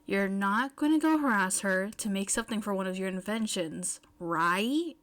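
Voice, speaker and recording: A woman's voice: mid-range voice, lively intonation, moderate pace, a bit bright, close to an everyday voice. Woman voicing an exasperated, insistent teenage schoolboy. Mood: wary. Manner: firm, scolding.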